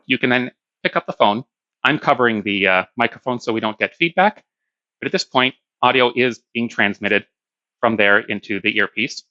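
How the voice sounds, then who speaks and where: A man's voice: medium pitch, normal speed, pushed and bright, dry recording, lo-fi sound. A man giving a hands-on product demonstration, explaining plainly step by step.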